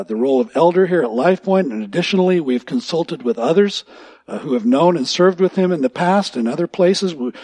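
Man, pastor, husky, measured formal announcement, dry sound. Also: a little high, warm tone, dry recording. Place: church service.

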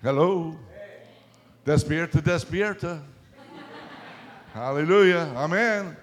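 A man with a North Carolina accent preaching a fervent sermon, often breaking into hallelujah, pausing line by line for an interpreter. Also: a little high. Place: large hall. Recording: reverberant hall.

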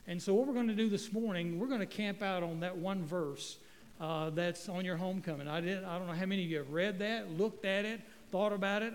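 A middle-aged guest pastor from North Carolina, preaching in a folksy, joking way with stumbling restarts. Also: male voice, high-pitched, big-room sound. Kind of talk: sermon. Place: church sanctuary. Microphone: handheld microphone.

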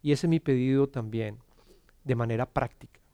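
Earnest preacher, dry sound. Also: male voice, medium pitch, fairly even intonation, normal speed, clear, resonant tone, dry recording. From Colombia. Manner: earnest, repeats words when starting, drops to a soft hush on scripture. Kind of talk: sermon. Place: church.

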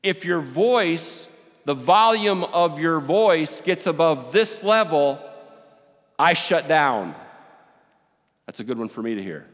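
Big-voiced pastor in a reverberant hall. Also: male voice, a little high, slow, long pauses. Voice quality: big loud voice. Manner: animated, joking, with rising and falling volume. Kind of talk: sermon.

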